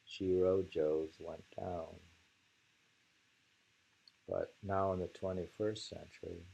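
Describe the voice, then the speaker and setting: A man hosting a casual haiku discussion and reading poems aloud, in a halting, musing way that often trails off. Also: low voice, creaky, warm tone, poor audio.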